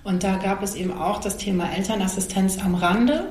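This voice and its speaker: A woman's voice: mid-range voice, brisk, a little mellow. A woman in her forties giving conversational answers as an interview guest. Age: 40s. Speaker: interview guest, social worker. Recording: echoey room, faint background noise.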